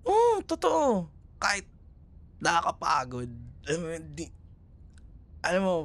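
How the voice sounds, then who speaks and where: A man's voice: sits high, huge pitch swings, measured pace, fairly bright tone, exaggerated voice. A man with a Filipino accent playing a young, caring, teasing ex-boyfriend in a casual, hesitant ASMR roleplay conversation. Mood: impressed, earnest. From Philippines. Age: young adult.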